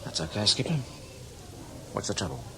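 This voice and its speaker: Weary older spy in a radio play, really low voice that moves around a lot, wry and cynical, bit of noise behind. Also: male voice, very animated, normal speed, creaky. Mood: guarded, knowing. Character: seasoned male British spy, world-weary and cynical. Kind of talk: radio drama acting, conversational.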